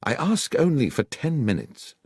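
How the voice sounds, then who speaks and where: A commanding gentleman detective performed by a man from a mystery storybook, courteous yet brisk. His formal, precise speech rises and falls with great animation in a dry, close recording. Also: mid-range voice, huge pitch swings, breathy edge, no room sound, voice pushed up, full character voice. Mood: urgent.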